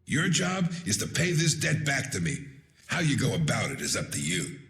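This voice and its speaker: Gravelly old guild boss. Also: male voice, medium pitch, huge pitch swings, normal speed, background noise. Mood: blunt, commanding. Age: older. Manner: blunt, folksy, gruff. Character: gruff, commanding old male guild leader, shrewd and blunt. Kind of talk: dramatic video game voice acting.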